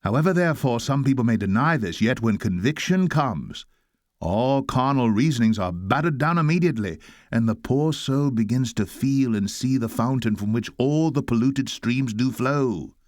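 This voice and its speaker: Guy reading an old fashioned sermon, grave dramatic oratory, medium pitch, dry close sound no room. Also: expressive, no room sound. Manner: solemn, exhorting, rhetorical questioning with weighty pauses. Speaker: reader of a historic sermon.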